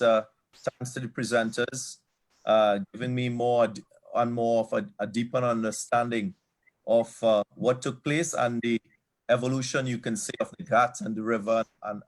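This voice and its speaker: A man with a Trinidadian accent speaks earnestly at an online event, his mid-range voice broken by frequent fillers and restarts. The sound comes through a video call. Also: mid-range voice. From Trinidad and Tobago. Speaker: guest speaker.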